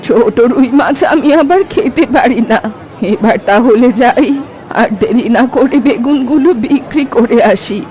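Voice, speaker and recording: A woman's voice: on the high side, unhurried, darkish tone, a little hiss or hum, close to an everyday voice. A woman voicing a chatty old village granny in a cartoon. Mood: chatty, kindly. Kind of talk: cartoon dialogue. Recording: background music and crowd bustle.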